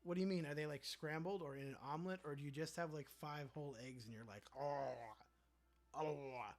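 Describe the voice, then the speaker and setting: A man's voice: a little high, a little fast. Chatty young man reading stream chat. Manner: chatty, reads viewer comments aloud and riffs on them playfully. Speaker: livestream host reading chat. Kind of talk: casual livestream chat.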